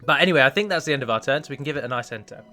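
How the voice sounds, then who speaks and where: A man's voice: mid-range voice, animated, racing, pushed and bright, faint music behind. A man giving chatty, self-deprecating commentary as he plays and records a let's play on a close microphone. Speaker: gaming video host and mod developer.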